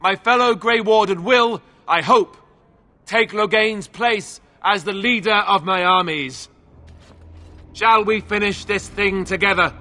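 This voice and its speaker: A man's voice: high voice, unhurried, sharp tone. A man voicing a young, self-doubting heir to a throne in a fantasy video game, earnest and wry, with a conversational, hesitant way of talking. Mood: rousing.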